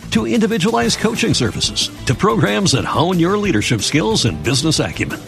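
A man's voice: on the high side, vocal fry, fairly bright tone. A man reading a scripted commercial as a voice-over. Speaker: advertising voice-over.

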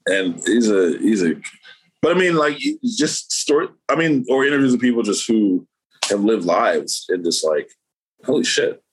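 A man's voice: on the low side, big pitch swings, moderate pace, slight background noise, rough recording. A man, a painter, talking casually as an interview guest, rambling with lots of fillers and restarts.

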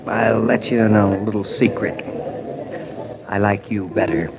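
Actor as a gentle husband, muffled old radio. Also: male voice, lowish voice, normal speed, close to an everyday voice. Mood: tender. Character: David, gentle, reassuring young husband, tender and teasing. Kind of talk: 1940s radio drama acting. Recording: muffled 1940s radio recording, faint noise.

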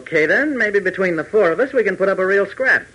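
An actor playing a young, steady, persuasive pilot hero in 1940s radio adventure style. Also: male voice, sits high, fast, husky, heavily acted, rough recording. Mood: brisk, businesslike.

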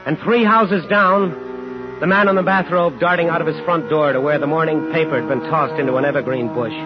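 A man's voice: a little high, fairly quick, over music, lightly acted. An actor playing a bitter, relentless man in a 1950s radio drama, terse and sardonic. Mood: brooding narration. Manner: terse, sardonic, needling questions. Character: bitter, relentless man home after years, hunting a killer. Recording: muffled, noisy 1950s radio recording.

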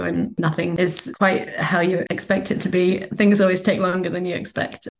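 Gravelly low-voiced woman architect. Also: rough recording. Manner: measured, explanatory, with hesitant restarts. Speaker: architect and bench designer. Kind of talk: interview answers, thoughtful.

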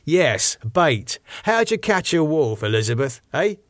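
A man's voice: on the high side, very animated, unhurried, no echo, clean studio sound, voice pushed up. A man reading an audiobook, voicing an agitated, defiant man who sounds haunted and bitterly sarcastic. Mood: angry, accusing. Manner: stammering, sweary, snapping outbursts. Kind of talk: audiobook character dialogue.

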